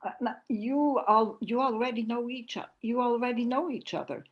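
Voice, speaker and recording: A woman playing a hesitant bearer of bad news, halting and stammering, in a table read over a dull video call. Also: fairly high pitch, natural voice. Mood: hesitant, uneasy.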